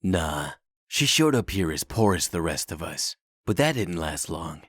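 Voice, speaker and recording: A man's voice: sits low, sing-song, a little slow, no room sound, clean studio sound, exaggerated voice. A man playing a roguish, gossipy sidekick in a comic dub, with a casual, folksy, eager way of talking.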